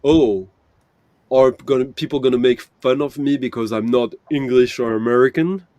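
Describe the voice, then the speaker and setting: A man's voice: mid-range voice, steady tone, moderate pace, mellow, no echo. A man chatting as a panel guest over a video call, thinking aloud with many fillers and self-corrections. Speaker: panel guest, vinyl channel creator. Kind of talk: casual panel chat.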